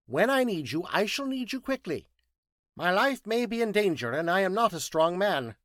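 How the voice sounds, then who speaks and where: A man's voice: sits high, very animated, moderate pace, fairly bright tone, dry recording, clean studio sound, voice pushed up. A man voicing a curt, petulant, exacting scientist detective in an audiobook story, speaking precisely and didactically. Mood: grave, commanding.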